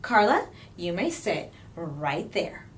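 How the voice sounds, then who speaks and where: A woman's voice: lowish voice, big pitch swings, slow pace. A woman voicing fed-up, commanding schoolteachers addressing a class in a children's storybook. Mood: announcing, firm. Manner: emphatic, commanding. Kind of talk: children's storybook read-aloud.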